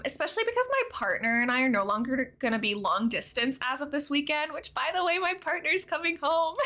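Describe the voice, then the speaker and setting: A woman's voice: high-pitched, sharp tone. A young woman livestreamer chatting casually with her viewers in a bubbly, talkative way. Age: young adult. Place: live game stream.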